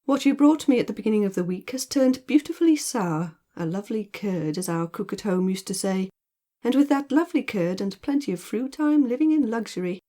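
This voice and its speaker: A mellow-voiced storybook gentleman, acted out by a woman reader, lazy and teasing. He speaks in playful rhetorical flourishes, mock-solemn and theatrical. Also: mid-range voice, expressive, fairly quick, natural voice. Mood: amused, teasing. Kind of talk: audiobook character dialogue.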